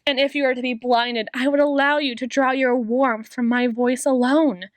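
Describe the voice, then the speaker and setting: A woman playing an obsessive, possessive female doctor in an ASMR roleplay, sweetly menacing, with a coaxing, theatrical delivery and lingering pauses. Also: high-pitched, fairly even intonation, no room sound, lightly acted. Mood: adoring, possessive.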